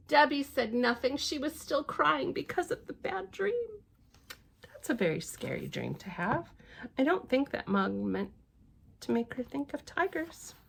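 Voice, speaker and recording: A woman reading a picture book aloud to children, expressive and playful, with gentle little asides. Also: high voice, measured pace, creaky. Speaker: library storytime reader.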